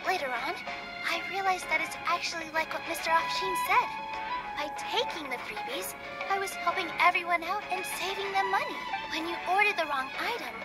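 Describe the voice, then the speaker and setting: A woman voicing a kind young female dancer in a video game, speaking softly and reassuringly. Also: very high-pitched, a little fast, poor audio. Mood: gentle. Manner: soft and reassuring. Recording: game audio with loud music.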